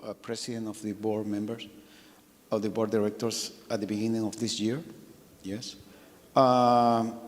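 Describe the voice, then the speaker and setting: A man with a Latin American Spanish accent, speaking haltingly as a banking panelist and often confirming his own phrases. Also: fairly low pitch. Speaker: bank board president, panelist. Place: conference hall. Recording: echoey hall with long reverb.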